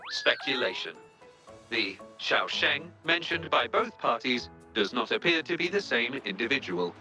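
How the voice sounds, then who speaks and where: A man's voice: mid-range voice, sing-song, measured pace, bright, forceful tone, faint music behind, exaggerated voice. A man voicing a small, polite robot assistant in a video game, speaking in formal, literal, procedural announcements. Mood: analytical, detached. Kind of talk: video game character dialogue.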